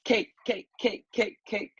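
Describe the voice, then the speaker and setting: A man's voice: high-pitched, steady tone, slow pace, fairly bright tone, breathy edge, dry recording, full character voice. A man playing a playful, flamboyant young party-goer in a comedy table read. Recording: muffled video call audio.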